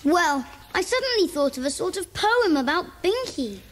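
A woman's voice: very high voice, very animated, moderate pace, fairly bright tone, full character voice. A woman playing a shy, dreamy British schoolboy with a hesitant manner in a children's audio drama. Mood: bashful.

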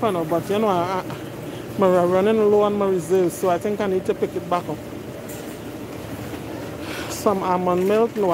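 Woman, middle-aged, chatty, reading prices, phone recording, noisy warehouse store. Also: on the low side. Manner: chatty, talks to viewers while browsing, reads prices aloud. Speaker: vlogger. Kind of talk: casual shop-with-me chat. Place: large warehouse store, indoors. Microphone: phone camera held while pushing a cart.

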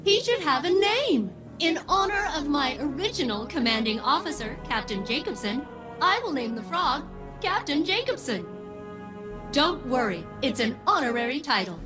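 A woman's voice: high-pitched, very animated, normal speed. An actress voicing a bubbly, eager female artificial intelligence in a video game, earnest and sing-song. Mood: delighted. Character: perky, childlike, eager female spaceship AI. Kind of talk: comic video game dialogue. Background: music.

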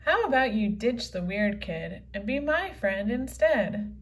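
A woman's voice: on the high side, very animated, measured pace. A woman voicing a bossy, snooty girl classmate, snide and dismissive, in a children's comic read-aloud.

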